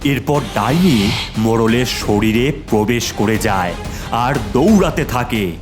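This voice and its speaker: A man's voice: mid-range voice, lively intonation, unhurried. A man narrating a horror cartoon story in a dramatic scripted style. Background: music.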